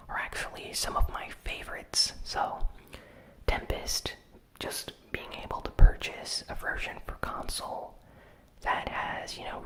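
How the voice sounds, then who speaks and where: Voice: pushed and bright, low-quality recording. Whispering ASMR gaming news host. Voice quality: breathy unvoiced whisper. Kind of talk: ASMR whispered news recap with casual commentary.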